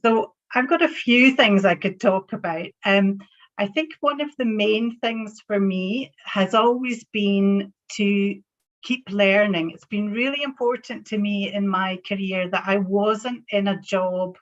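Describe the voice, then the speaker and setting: A woman's voice: medium pitch, measured pace, highs cut off. A woman with a Scottish accent, a banking professional, speaking as a panel guest in a thoughtful way. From Scotland.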